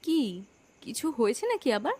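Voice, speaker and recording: A woman's voice: high voice, sing-song, moderate pace, a little mellow. A woman playing a doting, firm housewife and sister-in-law in a cartoon story. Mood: affectionate. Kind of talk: cartoon moral-story dialogue.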